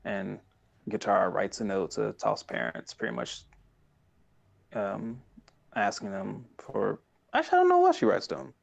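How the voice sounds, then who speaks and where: A man in his thirties with a low voice and a noticeable creak at the edges. He chats casually as a podcast co-host, rambling excitedly through retellings and slipping into quoted dialogue. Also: normal speed, long pauses, highs cut off. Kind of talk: casual chat.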